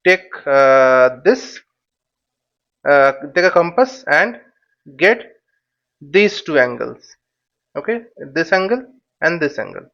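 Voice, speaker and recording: A man giving an informal tutorial in a halting, step-by-step way, repeating phrases and checking with short questions. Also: a little high, sing-song, vocal fry, a bit bright, lo-fi sound. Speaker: flight simulation instructor and presenter.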